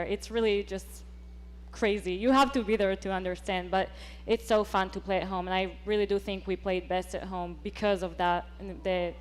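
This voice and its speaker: A young woman in her twenties, a volleyball coach, talking upbeat and enthusiastic in a casual interview. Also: mid-range voice.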